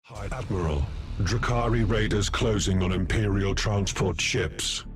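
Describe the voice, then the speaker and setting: An actor playing an analytical tech-priest officer in a video game, reporting in a precise, detached manner. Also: male voice, fairly low pitch, measured pace, slight background noise, lightly acted. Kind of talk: video game cutscene acting. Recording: music behind the voice.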